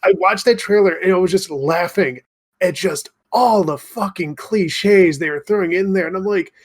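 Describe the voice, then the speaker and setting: A man's voice: high-pitched, moderate pace. A man co-hosting a podcast in casual, informal chat with an amused manner. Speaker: podcast co-host.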